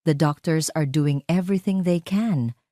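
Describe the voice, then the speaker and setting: A woman's voice: low-pitched, unhurried, warm tone, dry recording, studio quality. A woman voicing a haughty, irritated older mother in an audio drama. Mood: irritated, dismissive.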